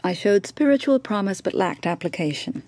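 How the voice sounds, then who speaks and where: A proud mother, desperate for her son, in a radio play. Her medium-pitched voice moves in halting, deliberate phrases that press insistently. The sound is dry, with no room around it. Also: animated, a little mellow, no room sound. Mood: guarded, hesitant. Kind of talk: radio drama acting.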